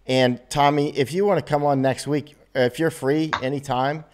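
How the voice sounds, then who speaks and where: A man's voice: fairly high pitch, fairly even intonation, normal speed, darkish tone. An American man hosting a casual remote interview, loose and chatty, laughing easily. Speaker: host.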